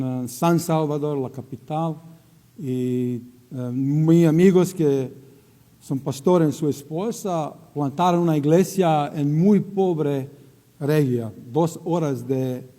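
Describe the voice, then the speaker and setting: A middle-aged man with a Croatian accent speaks slowly, in broken Spanish, often stopping to find a word and slipping into English now and then. His voice is mellow and sits a little high for a man. It rings with the long echo of a church hall. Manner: halting non-native Spanish, searching for words, slipping into English. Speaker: missionary. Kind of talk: church greeting and testimony. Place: church sanctuary.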